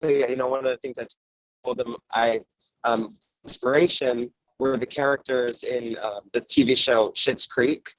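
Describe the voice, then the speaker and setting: A man's voice: mid-range voice, steady tone, normal speed, a bit bright, dry recording, rough recording. A man chatting casually as a panelist, feeling his way through sentences with frequent hesitations. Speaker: panelist discussing a production.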